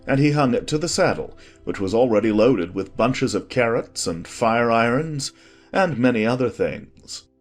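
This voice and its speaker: A man's voice: medium pitch, animated, moderate pace, faint music behind. A man reading scripted storybook narration as the narrator of an audiobook.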